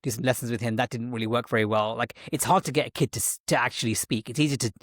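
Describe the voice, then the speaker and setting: A man's voice: medium pitch, fairly even intonation, rapid-fire, fairly bright tone, no echo, studio quality. A man interviewed as a guest, talking in a rambling way with frequent restarts and self-corrections. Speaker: guest, tech executive and parent. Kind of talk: conversational interview.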